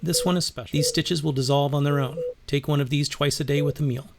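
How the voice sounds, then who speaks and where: A man playing a brisk, businesslike doctor in an audio drama, clipped and clinical. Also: on the high side, steady tone, vocal fry, a little mellow, faint music behind. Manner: clipped, clinical, matter-of-fact. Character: brisk, businesslike doctor processing new arrivals.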